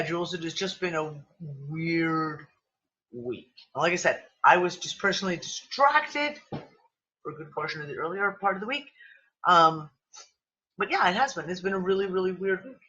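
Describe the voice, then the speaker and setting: A man hosts a loose online group chat over a video call, his bright, slightly high voice worn down, sniffly and on the edge of giving out. He rambles from point to point with exclamations and casual swearing. The stream audio sounds dull and lo-fi. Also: animated, a little slow, pauses often. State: losing voice, sniffly. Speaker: livestream discussion host. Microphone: computer mic on a video call.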